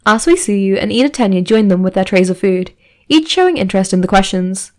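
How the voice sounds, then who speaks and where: A woman narrates a piece of fan fiction at a middle pitch. She reads from a script as a storyteller, moving through description and scene-setting. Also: expressive, fairly quick, a little mellow. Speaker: fan fiction podfic reader.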